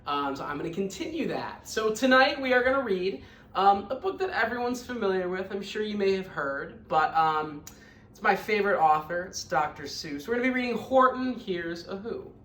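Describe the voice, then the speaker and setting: A woman reading a children's story aloud like a teacher, in an expressive, emphatic storytelling manner. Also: medium pitch, moderate pace, slight background noise. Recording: roomy echo, distant microphone.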